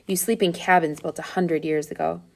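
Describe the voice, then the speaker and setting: A woman's voice: fairly low pitch, fairly even intonation, moderate pace, close to an everyday voice. A woman voicing a friendly, easygoing man who pilots balloons in a storybook, folksy and reassuring.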